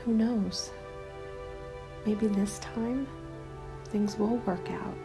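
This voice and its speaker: A woman playing a weary, guarded survivor of a zombie apocalypse, narrating a diary in a hushed, breathy, measured ASMR style. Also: medium pitch, steady tone. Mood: wary, resigned. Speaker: narrator of an audio roleplay. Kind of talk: ASMR scripted first-person diary narration. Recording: music laid under the voice.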